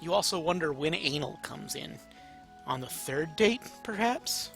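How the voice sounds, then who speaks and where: A man's voice: a little high, animated, unhurried, vocal fry, fairly bright tone, soft background music. A man narrating a story aloud in a casual, amateur, playful way. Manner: informal, playful.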